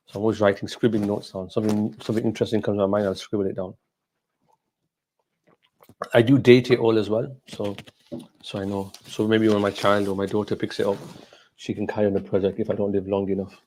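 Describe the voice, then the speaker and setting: A middle-aged man whose mother tongue is Gujarati, talking informally as a scholar answering questions, in a halting, reflective way that repeats phrases. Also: lowish voice, darkish tone, slight background noise. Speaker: Islamic scholar hosting a question and answer session.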